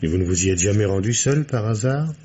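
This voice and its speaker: An actor playing a shrewd, patient senior police commissioner in a French radio mystery, questioning in a measured, wry way. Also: male voice, on the low side, lively intonation, warm tone, close to an everyday voice. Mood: probing. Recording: dull, noisy old radio recording.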